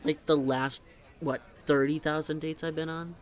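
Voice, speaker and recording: A man's voice: on the high side, little pitch movement, moderate pace, close to an everyday voice. A young man playing a teasing, chatty best friend in a conversational audio roleplay, talking in a casual, emphatic way. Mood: exasperated venting. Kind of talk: conversational scripted roleplay monologue.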